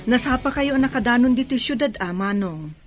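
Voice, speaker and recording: Actress as hospitable middle-aged aunt in an audio drama; moderately high register. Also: female voice, lively intonation, fairly quick, music in the background, lightly acted. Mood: welcoming. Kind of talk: radio drama acting.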